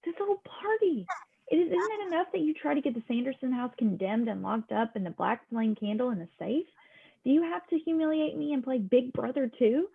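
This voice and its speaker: A woman's voice: sits high, a little fast, gravelly, husky, exaggerated voice. A woman reading the part of an exasperated, easily embarrassed teenage daughter with a dry streak, in an amateur script read. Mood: exasperated, accusing. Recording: muffled, lo-fi home microphone.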